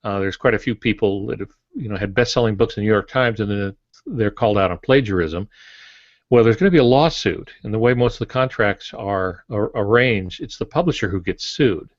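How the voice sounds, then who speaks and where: A man's voice: lowish voice, a little fast, no room sound. A man in his sixties explaining things in a podcast discussion over a video call from his home office. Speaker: podcast panelist, veteran author. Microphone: earbuds on a video call. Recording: dull, compressed video-call audio.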